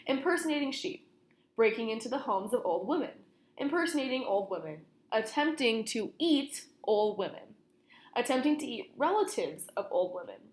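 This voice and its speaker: A woman's voice: on the high side, sing-song, moderate pace. A young woman reading a children's story aloud in the manner of a storytelling session for kids. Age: young adult. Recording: roomy, echoing room sound.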